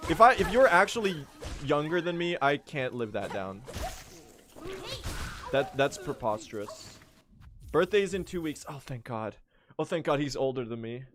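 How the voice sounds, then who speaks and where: A late-teen man from Sweden, a native Swedish speaker, chatting casually on a gaming livestream in a rambling, joking way with sudden excited outbursts. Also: on the high side. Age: late teens. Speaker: streamer.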